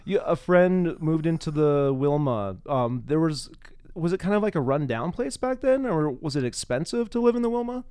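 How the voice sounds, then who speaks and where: A man's voice: a little high, animated, normal speed, dark tone, smooth, studio quality. A man hosting a casual, chatty interview, often echoing back what the other person says. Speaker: interviewer, podcast host.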